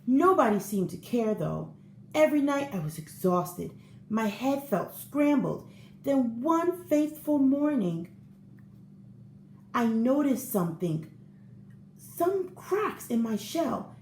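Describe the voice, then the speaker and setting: An earnest, eager-to-please storybook egg, acted out by a woman in a high, very animated voice. The delivery is theatrical and expressive, as if telling its own tale to children. The room adds a noticeable echo. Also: takes it slowly, heavily acted. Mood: earnest, strained. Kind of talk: children's picture book read-aloud, first-person storytelling. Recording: roomy echo with faint background noise.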